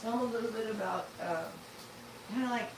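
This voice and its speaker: A co-host chatting on a home livestream in a halting way, full of fillers. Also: medium pitch, noisy background. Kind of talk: casual livestream chat.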